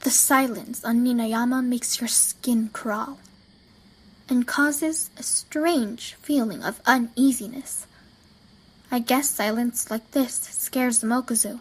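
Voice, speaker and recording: A woman playing a reserved, brooding teenage girl in an amateur audio drama, measured and guarded in manner. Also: fairly high pitch, breathy edge, low-quality recording. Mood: wistful.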